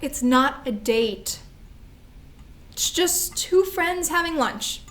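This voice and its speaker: Teenage little sister, bashful yet excitable, very high voice, woman acting, stage play. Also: slow, heavily acted. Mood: playful, bashful. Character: teenage girl, shy but excitable younger sister.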